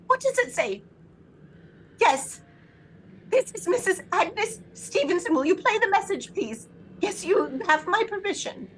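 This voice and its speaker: Frantic bossy invalid lady, suspense audio play, super high voice, pitch jumps around. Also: a bit bright, slight background noise, heavily acted. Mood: upset. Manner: agitated, imperious, breathless pleading. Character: frantic, demanding invalid wife alone at night. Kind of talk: suspense audio drama acting.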